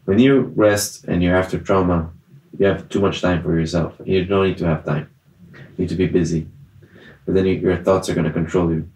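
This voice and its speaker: A young man from Israel whose first language is Italian tells his story in an interview. He talks in an earnest, halting way, full of fillers and restarts, with a low voice. The room around him is echoey. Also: steady tone, darkish tone. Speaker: guest, festival survivor. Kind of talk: personal testimony in interview.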